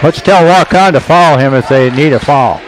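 A man adding color commentary to a radio basketball broadcast, his voice middle-pitched and relaxed as he chats with his partner between plays. Faint gym noise hums underneath. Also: normal speed, crowd noise. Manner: relaxed, chatty asides to the play-by-play man.

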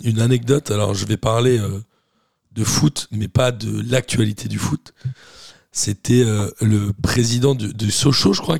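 A man's voice: medium pitch, vocal fry, a little mellow, no echo, studio quality. A middle-aged man with a French accent hosting a casual chat, asking questions and telling anecdotes in a teasing, informal way. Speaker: podcast host.

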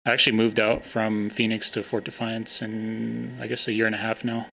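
A man interviewing a band in a casual, hesitant way, with many pauses and fillers. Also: lowish voice, monotone, gravelly, sharp tone. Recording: faint ambient noise.

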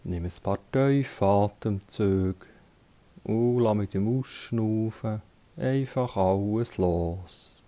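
Very slow, mellow Swiss German man. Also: fairly low pitch. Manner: calm, instructing in short phrases with long pauses. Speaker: yoga teacher. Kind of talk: guided relaxation meditation.

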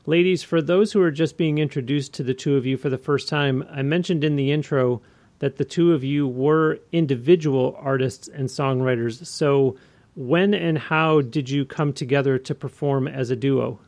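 A man's voice: medium pitch, moderate pace, a little mellow. A man hosting an interview, polite and affirming as he asks questions. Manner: polite, affirming, says "I see" often. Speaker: podcast host. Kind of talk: interview host. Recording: dull lo-fi audio.

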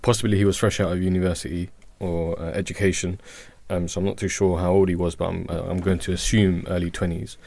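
A man's voice: low voice, flat delivery, quick pace, gravelly, few pauses, a little mellow. A man in his thirties co-hosting a radio discussion, thinking aloud and asking questions with some hesitation. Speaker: radio show co-host. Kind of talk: casual panel discussion.

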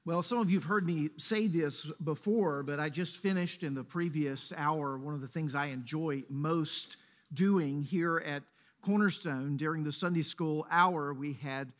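A man with a Mississippi accent, a pastor leading a prayer and then preaching a sermon in a measured, earnest way. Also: on the high side. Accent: Mississippi Southern. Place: church sanctuary.

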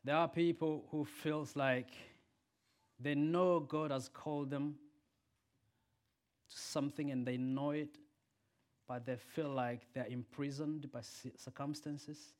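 A man preaching a sermon and leading a prayer, a native Swahili speaker who swings from loud emphatic peaks to soft quiet lines. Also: a little high, steady tone, slow pace, long pauses.

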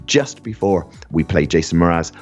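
This guy's a radio host, low and a bit husky, super upbeat, reading listener messages, dull lo-fi sound with a little music under it. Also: animated, normal speed. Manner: enthusiastic, full of praise. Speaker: radio presenter. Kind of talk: school radio show, reading out listener comments.

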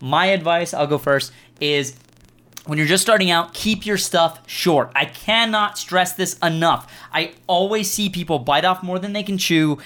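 Male host, higher-pitched voice, earnest and emphatic, reading questions and giving advice in a casual chat. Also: unhurried, fairly bright tone. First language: Farsi and English speaker. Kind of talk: casual group Q&A chat giving advice to beginner video makers.

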